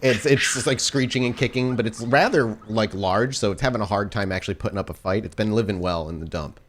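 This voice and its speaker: A man's voice: on the low side, fast, a bit bright, slight background noise. A man acting as game master, improvising descriptive, cinematic narration for a tabletop roleplaying game.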